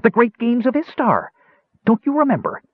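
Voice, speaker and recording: A man voicing an excitable, naive young kender adventurer in a fantasy audiobook, eager and exclamatory. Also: sits high, fairly quick, slightly rough, dry recording, phone-quality sound, voice pushed up, full character voice. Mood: excited wonder.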